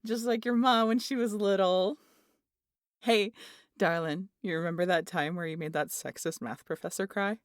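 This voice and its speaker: A woman's voice: medium pitch, normal speed, no room sound, clean studio sound, close to an everyday voice. A woman playing a brash, teasing, big muscular aunt in an ASMR audio drama, a swaggering storyteller. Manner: swaggering, foul-mouthed, animated storyteller.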